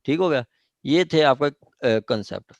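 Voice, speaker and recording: A man's voice: mid-range voice, animated, a little slow, no echo, dull audio. Pakistani man teaching law online, dry sound. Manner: explanatory, repeats points and checks understanding. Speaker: law lecturer. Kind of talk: informal online lecture with question and answer. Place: online meeting.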